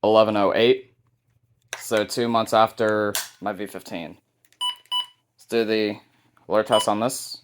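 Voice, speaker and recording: A man's voice: on the low side, fairly even intonation, measured pace, long pauses, heavy background noise. A man hosting a hobby video, narrating a casual show-and-tell of a collection.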